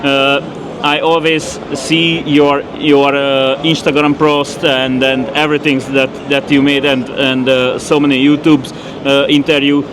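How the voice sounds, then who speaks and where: A man with a Hungarian accent, hosting a casual interview in halting English with many fillers and restarts. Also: mid-range voice, flat delivery, noisy background. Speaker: podcast host.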